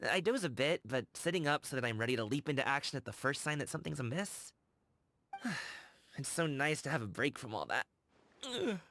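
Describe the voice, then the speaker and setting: A man's voice: mid-range voice, pushed and bright. A man playing a friendly, earnest young delivery guard in a video game. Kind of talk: game character voice line. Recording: background music behind the voice. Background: music.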